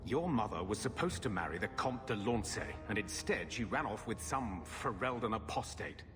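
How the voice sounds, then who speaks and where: An actor playing a sour, shifty middle-aged uncle who answers curtly. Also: male voice, lowish voice, lively intonation, normal speed, lightly acted. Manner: curt, defensive. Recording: game audio with music, reverb.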